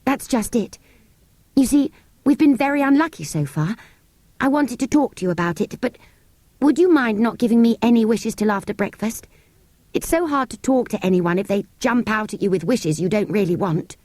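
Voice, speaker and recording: A woman's voice: fairly high pitch, expressive, normal speed, darkish tone, dry recording, voice pushed up. A woman voicing an earnest, polite young girl in a storybook reading, pleading and apologetic. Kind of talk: audiobook character voice.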